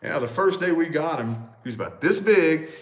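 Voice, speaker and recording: Man, loud booming voice, animated casual chat, swears, roomy echo. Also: fairly quick. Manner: animated, swearing freely, exclamations. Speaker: vlog co-host. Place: large echoey house. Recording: echoey room.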